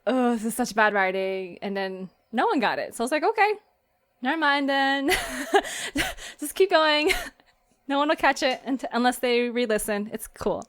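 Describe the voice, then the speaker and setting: A woman with a fairly high voice hosts a relaxed, chatty conversation. She is giggly and often laughs as she talks. The sound is dry, with very little room to it. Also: moderate pace, no echo. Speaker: fiction podcast writer and host. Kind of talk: casual chat interview.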